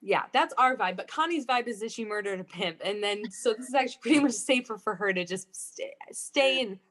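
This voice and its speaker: A woman playing a chatty, sassy female asylum inmate with gushing, slangy, drawn-out delivery in improvised comedy. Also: mid-range voice, animated, fairly bright tone. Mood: sassy, breezy.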